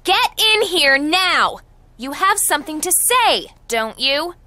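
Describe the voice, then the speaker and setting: A woman's voice: high voice, big pitch swings, slow pace, bright, forceful tone, exaggerated voice. A woman playing a feisty, caring teenage girl in a video game, with an assertive, scolding manner. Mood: bossy. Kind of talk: video game cutscene acting.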